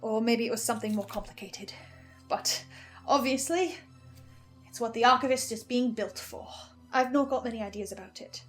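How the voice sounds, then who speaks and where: A woman playing a troubled, self-doubting broadcaster, delivering an intense, halting dramatic monologue in which she keeps correcting herself. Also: a little high, soft background music, natural voice. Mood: brooding, speculative. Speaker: broadcaster reacting to a fiction podcast.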